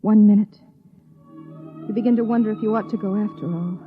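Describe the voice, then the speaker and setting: A woman's voice: mid-range voice, steady tone, fairly quick, soft background music, lightly acted. An actress playing an uneasy woman passenger hiding a secret, in a 1950s radio drama. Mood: anxious brooding. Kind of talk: 1950s radio drama, second-person narration. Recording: muffled, tinny 1950s radio recording.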